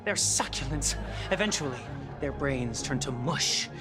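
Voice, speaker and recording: An actor voices the bossy leader of a cartoon pop star council in a brisk, deadpan mid-range voice. Music runs behind the speech. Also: mid-range voice, close to an everyday voice. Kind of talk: cartoon voice acting.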